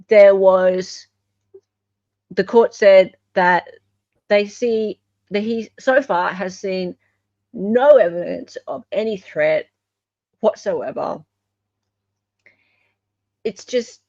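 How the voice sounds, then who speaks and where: Slow-paced Australian woman, slightly muffled sound. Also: medium pitch, darkish tone, no room sound. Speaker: online show host. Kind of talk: casual commentary on court documents and recordings.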